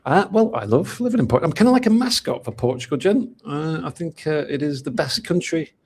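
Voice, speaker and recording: A man's voice: a little high, lively intonation, a little fast, darkish tone, slightly rough. A man from England with a Manchester accent, answering interview questions in a chatty way with lots of fillers and restarts. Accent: Mancunian English. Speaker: golden visa specialist.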